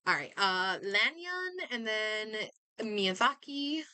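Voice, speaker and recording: A woman's voice: medium pitch, very animated, slow pace, bright, forceful tone, clean tone, no echo. A woman game streamer chatting casually as she plays, muttering and thinking aloud to herself. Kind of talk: casual livestream chat while playing a game.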